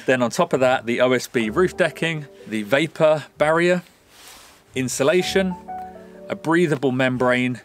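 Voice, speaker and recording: A man's voice: medium pitch, unhurried, soft background music. A man in his thirties hosting a renovation vlog, explaining things casually to camera outdoors. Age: 30s. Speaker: vlog host renovating a house. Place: outdoors at a rural building site.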